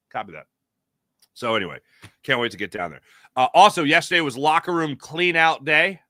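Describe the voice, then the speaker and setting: A man's voice: medium pitch, pushed and bright, no echo, clean studio sound. An American man from the Philadelphia area hosting a sports show, talking in a casual, emphatic, conversational storytelling way. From Philadelphia area, United States. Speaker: sports talk show host. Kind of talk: casual sports talk monologue.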